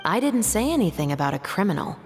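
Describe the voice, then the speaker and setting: A woman's voice: medium pitch, big pitch swings, fast, faint music behind. An actress playing a composed, businesslike young female patrol captain in a video game, formal and reserved. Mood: cool, probing. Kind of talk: video game dialogue acting.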